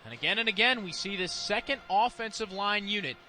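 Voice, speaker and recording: Guy doing football color commentary on radio, bright high voice, explains plays, some background noise. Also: lively intonation, brisk. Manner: explanatory, opinionated.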